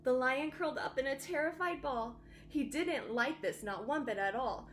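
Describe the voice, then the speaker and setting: A woman teacher reading a children's bedtime story aloud with expressive, dramatic emphasis. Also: high-pitched, normal speed, slight background noise. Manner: animated, dramatic emphasis for young listeners.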